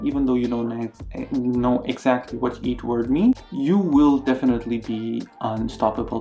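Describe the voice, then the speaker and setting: A young man chats through quick phrase tips in a loose, playful way. His tone is mellow and warm, and he often jumps up in pitch when he acts out a greeting. Soft music plays faintly underneath. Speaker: language learning vlogger. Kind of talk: casual language tips to camera.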